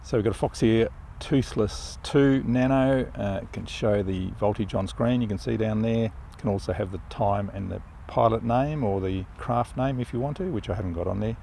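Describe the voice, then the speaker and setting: An older man flying a model plane in an open field outdoors, giving relaxed, enthusiastic commentary as he flies. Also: fairly low pitch, normal speed, creaky, hardly any pauses, slight background noise. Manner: relaxed, enthusiastic, thinking aloud while flying. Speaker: RC pilot reviewing a plane. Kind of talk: casual live flight commentary.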